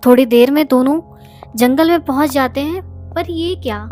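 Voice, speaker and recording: A woman's voice: high-pitched, moderate pace, dark tone. A woman narrating a scripted story as a storyteller. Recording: music behind the voice.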